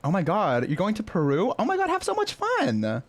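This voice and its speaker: A woman's voice: mid-range voice, wildly swooping pitch, moderate pace, dry recording. A woman streaming a game, reacting and chatting casually. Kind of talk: casual livestream reactions and chat. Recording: game music behind. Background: game music and dialogue.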